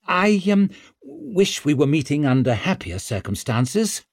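A man voicing a sarcastic, exasperated king in an audiobook, with an incredulous, sharp-tongued manner. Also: fairly high pitch, wildly swooping pitch, a little slow, dry recording, clean studio sound, voice pushed up, full character voice. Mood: awkward. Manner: incredulous, sardonic.

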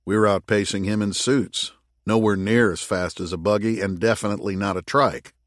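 A man voicing a gruff, skeptical moon colony worker in an audiobook, with blunt, sardonic retorts. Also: fairly low pitch, fairly even intonation, normal speed, no room sound, clean studio sound, lightly acted. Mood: dry, matter-of-fact. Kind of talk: audiobook character dialogue.

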